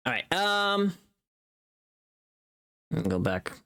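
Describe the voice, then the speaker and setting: A woman's voice: on the low side, sing-song, drawn-out words, fairly bright tone, clean tone. A woman livestreamer chatting casually while she works, muttering to herself and repeating phrases in a rambling way. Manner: swears freely. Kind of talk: casual chat while working on stream.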